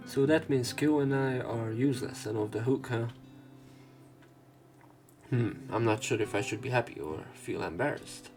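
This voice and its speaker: A man's voice: mid-range voice, normal speed, pauses often, darkish tone, soft background music. A man hosting a let's play, reading a visual novel's narration and dialogue aloud.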